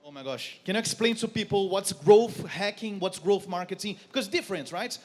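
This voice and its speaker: A man's voice: sits high, a little fast. A man with a Brazilian accent, casually and playfully moderating a panel on stage as its host. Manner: playful, jokey. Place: stage at a conference. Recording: long hall reverb.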